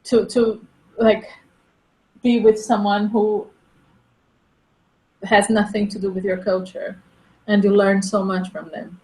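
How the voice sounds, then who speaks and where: Woman chatting in english, keeps restarting, middle pitch, echoey room. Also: little pitch movement, slow pace, pauses often, mellow. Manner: thoughtful, with frequent restarts and repeated words. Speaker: interviewee. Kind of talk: casual conversation.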